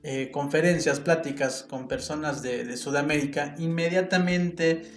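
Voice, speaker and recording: A man's voice: a little high, fairly even intonation, unhurried. A man with a Mexican accent hosting a reflective solo podcast, talking in an earnest, opinionated way and thinking aloud with small restarts. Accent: Mexican Spanish. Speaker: podcast host. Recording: roomy sound with faint guitar music behind.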